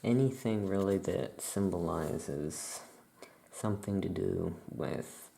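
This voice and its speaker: A performer voicing a forgetful, easygoing witch shopkeeper in a soft-spoken, halting ASMR roleplay, rambling absent-mindedly. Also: low-pitched, vocal fry, exaggerated voice. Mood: absent-minded, relaxed.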